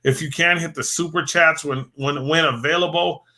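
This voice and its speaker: Bright-voiced host losing his voice. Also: on the high side, steady tone, normal speed. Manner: rambling, repeats words, thanks viewers by name. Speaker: livestream host. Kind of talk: casual livestream chat.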